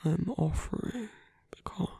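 Seductive male villain for ASMR roleplay, performed by a man: gravelly whisper, patient, coaxing, possessive, intimate. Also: high-pitched, a little slow, mellow, no echo, heavily acted. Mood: tender persuasion. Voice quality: breathy whisper.

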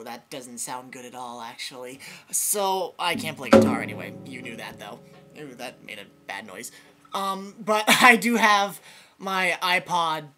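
A teenage boy rambling through a casual, self-mocking webcam message to a friend, recorded in a dark bedroom. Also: on the high side. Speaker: vlogger. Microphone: built-in webcam mic.

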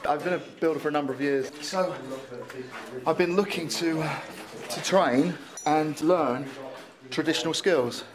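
A man who works as a builder, talking casually and a little haltingly as a course student. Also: fairly high pitch, crowd noise. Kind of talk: casual interview.

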